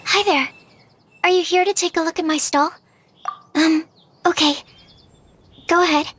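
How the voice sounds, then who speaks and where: Shy, high-voiced young alchemist girl. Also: steady tone, a little slow, fairly bright tone, slight background noise. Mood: nervous, polite. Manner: hesitant, timid, earnest. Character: shy, polite young female alchemist running a stall. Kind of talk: video game character voice acting.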